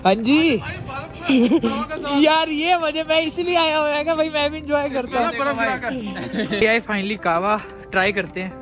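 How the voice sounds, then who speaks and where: A young man filming a travel vlog outdoors on a snowy train platform and inside a train, chatting excitedly with his viewers. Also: very high voice, slow. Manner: excited, chatty, keeps calling viewers brother. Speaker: travel vlogger. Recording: background music mixed under the voice. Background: music.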